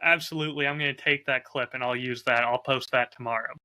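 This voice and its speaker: Bright, forceful man chatting on a podcast. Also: mid-range voice, steady tone, quick pace, clear, resonant tone. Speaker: podcast host and audio drama creator. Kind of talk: casual podcast chat.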